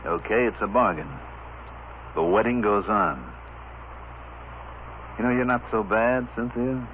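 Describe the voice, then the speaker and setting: Tough, greedy radio heavy, played by a man: lowish voice, slangy, tinny old-broadcast sound. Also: measured pace, natural voice. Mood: greedy, sly. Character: crooked, greedy, tough man, potential killer. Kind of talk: old-time radio soap opera acting. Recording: muffled, noisy vintage radio recording.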